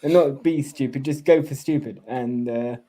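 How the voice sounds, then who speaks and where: A man co-hosting a relaxed conversation in a very dark, mellow voice. He hesitates and repeats words at the start of his thoughts. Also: medium pitch, moderate pace. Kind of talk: casual conversation.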